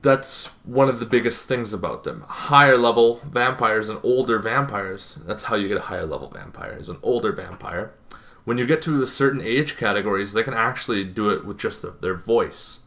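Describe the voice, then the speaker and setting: A young man hosting an informal explainer to camera, speaking emphatically with halting, dramatic pauses. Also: on the low side, fairly bright tone. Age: young adult. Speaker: host of a tabletop gaming series. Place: bedroom, indoors.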